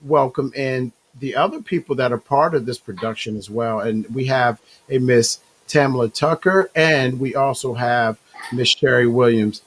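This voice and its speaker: A hoarse man hosting a talk show, talking in a halting way with many fillers and self-corrections. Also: mid-range voice, a bit bright, poor audio.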